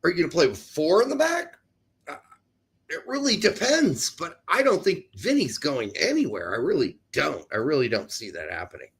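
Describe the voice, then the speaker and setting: Animated, gravelly American soccer livestream co-host, male. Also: on the high side, normal speed. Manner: opinionated, blunt, swears casually. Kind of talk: casual sports chat.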